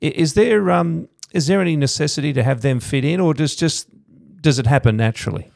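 Middle-aged male host, gravelly, rambling questioner, dry studio sound. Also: on the high side, brisk, darkish tone, no echo. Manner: rambling questions, many fillers. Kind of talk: podcast interview. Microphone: studio mic on boom arm.

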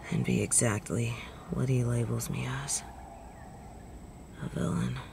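A woman's voice: a bass voice, little pitch movement, slow pace, vocal fry, noisy background, heavily acted. A woman voicing a bitter, sardonic, vengeful supervillain in a dramatic audio roleplay, theatrical and menacing. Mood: reluctant, subdued. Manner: theatrical, menacing, swinging from hushed to shouted.

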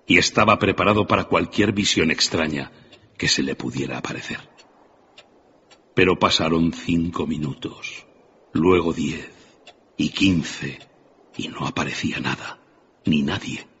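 A man's voice: deep voice, a bit bright, lo-fi sound, a little hiss or hum. A man narrating a classic tale as a dramatic scripted radio story. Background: music.

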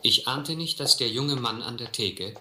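An actor playing a seasoned, restless South Seas captain, measured and earnest, in a German radio play. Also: male voice, medium pitch, sharp tone. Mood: reflective. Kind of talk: German radio play narration, literary and scripted. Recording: roomy recording with music behind.

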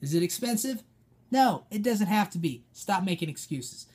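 A young American man talks like a personal trainer on a casual vlog. His voice is mellow and husky, with a direct, emphatic way of instructing. He is seated indoors, a short way from the camera. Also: high voice, expressive, moderate pace. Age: young adult. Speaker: personal trainer giving advice. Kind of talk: informal vlog coaching. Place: small dim room indoors, seated at a desk.

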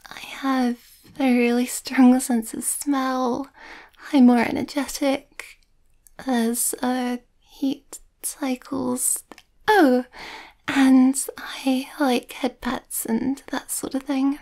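Shy young werewolf girlfriend played by a woman for ASMR roleplay: high, mellow, nervous, breathy. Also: little pitch movement, very slow, heavily acted. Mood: shy, anxious. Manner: hesitant, breathy, often near whisper, nervous.

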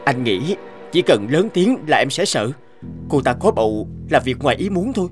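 A man's voice: medium pitch, sing-song, normal speed, faint music behind, natural voice. A man voicing a defensive young husband in a cartoon, speaking bluntly. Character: young husband, guilty then angry. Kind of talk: cartoon dub acting.

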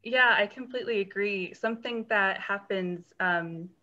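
A woman answers questions with a bright, forward tone, steady and sympathetic. Her voice comes through dull, lo-fi video call audio. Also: medium pitch. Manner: sympathetic, measured. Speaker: guest presenter answering questions.

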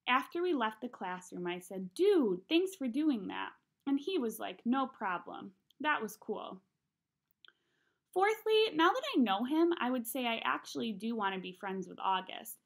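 A young woman with a slightly high voice reads a children's novel aloud. She is expressive and conversational, acting out the dialogue as she goes. She is recorded in a small room at home. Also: measured pace, creaky. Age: young adult. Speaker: read-aloud narrator. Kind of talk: expressive audiobook-style reading of a children's novel.

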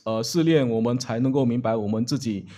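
A man's voice: mid-range voice, brisk, warm tone. A man seated at the front of a church interprets a sermon phrase by phrase into a table microphone. His delivery is hesitant, broken by filler sounds and restarts as he searches for the next words.

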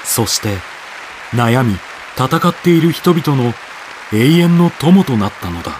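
A man's voice: medium pitch, lively intonation, unhurried, a faint crowd, a little hiss or hum. A man narrating a radio drama from a script in a solemn, declamatory, dramatic manner. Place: studio voice-over with music behind.

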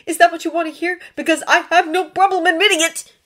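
A high, very animated teenage boy wizard acted by a woman for an audiobook. He is sarcastic and stubbornly loyal, with a defiant, teasing edge and a quick temper. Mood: angry, defensive.

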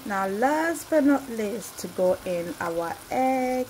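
Slow-talking woman over sizzling pan. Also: fairly high pitch, lively intonation. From Ghana. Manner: gentle, unhurried, affectionate sign-off with blessings. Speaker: cooking vlogger. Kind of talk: step-by-step cooking narration. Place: home kitchen at the stove. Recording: sizzling frying noise behind the voice.

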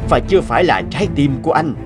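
A man's voice: fairly high pitch, expressive, racing, lightly acted. Guy doing middle-aged cartoon doctor, blunt n matter of fact, music behind. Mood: grave.

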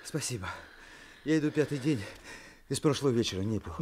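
Weary, indignant intellectual wanderer played by a male actor, medium pitch, articulate, radio drama. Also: expressive, fairly quick, noisy background, natural voice. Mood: exhausted. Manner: formal, articulate. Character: weary, indignant educated traveller, commander's brother. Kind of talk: Soviet radio play acting.

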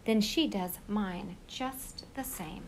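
A woman reading a children's picture book aloud in an expressive storytelling style. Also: mid-range voice, slow pace, slight background noise.

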